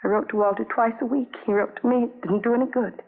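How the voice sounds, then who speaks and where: An actress playing an anguished, lonely young wife in a 1950s radio drama, speaking in halting, repetitive fragments on a vintage broadcast recording. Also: female voice, a little high, fairly even intonation, vocal fry, narrow, tinny audio. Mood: desolate, pleading.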